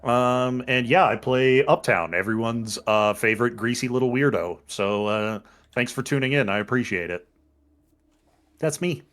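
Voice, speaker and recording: A man's voice: medium pitch, moderate pace, fairly bright tone. A man, a player, giving an easygoing sign-off full of fillers. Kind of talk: casual stream outro.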